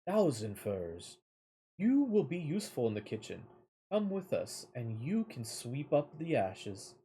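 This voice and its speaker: A man's voice: mid-range voice, sing-song, a little slow, dark tone, slight background noise. A man reading the lines of a king's matter-of-fact hunter in a storybook tale, in plain declarative phrases. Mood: commanding.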